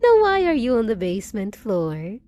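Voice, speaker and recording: A woman's voice: a little high, wildly swooping pitch, normal speed, a little mellow, no echo. A woman playing a possessive, unhinged undead bride in a roleplay audio drama, cooing endearments with theatrical, sinister sweetness. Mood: triumphant, cooing.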